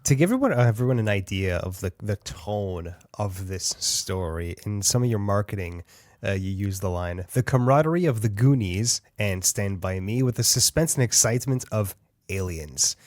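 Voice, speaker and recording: Low-voiced audiobook podcast host. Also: male voice, expressive, normal speed, a little mellow, no echo, studio quality. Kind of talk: casual interview.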